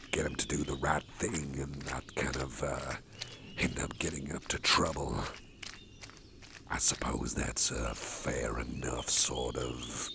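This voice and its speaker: A man plays a huge, gruff but protective boss in an ASMR roleplay, rambling and chuckling as he goes. The voice sits extremely deep and gravelly, in a slow, unhurried drawl. Steady background noise with birds runs under it. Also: full character voice. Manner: rambling, chatty, chuckles to himself. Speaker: boss character carrying the listener. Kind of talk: ASMR roleplay, personal attention.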